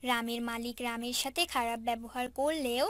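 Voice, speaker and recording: A woman's voice: a little high, steady tone, normal speed, fairly bright tone, no room sound. A woman telling a story as the narrator of a scripted children's cartoon.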